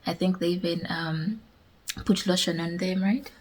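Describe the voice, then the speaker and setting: A young woman from Uganda speaks in a husky, slightly breathy voice, telling stories in an animated, chatty way. She talks casually to the camera with a conversation partner, laughing between her points. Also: lowish voice, measured pace. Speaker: vlogger. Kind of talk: casual chat.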